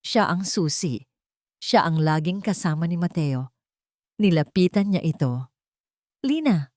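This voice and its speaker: Female narrator, Filipino accent, lowish pitch, dry close recording, scripted dramatic storytelling. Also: measured pace, a little mellow, no echo.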